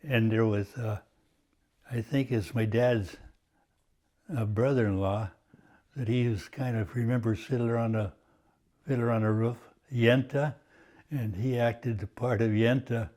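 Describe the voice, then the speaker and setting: An older man from Washington State, Croatian as his first language, slowly recalling his family's past with frequent pauses. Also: mid-range voice, steady tone, warm tone. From Washington State, USA. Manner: slow and halting, many uh pauses. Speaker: oral history interviewee. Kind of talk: reminiscing, oral history.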